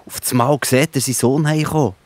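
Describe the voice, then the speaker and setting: A man's voice: mid-range voice, fairly even intonation, fairly quick, a little mellow, no echo. A man with a Swiss German accent narrating a scripted Bible story for children.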